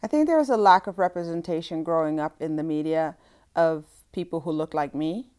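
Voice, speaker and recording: Low-voiced young woman recalling calmly. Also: fairly quick. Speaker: interviewee. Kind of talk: personal interview recollection.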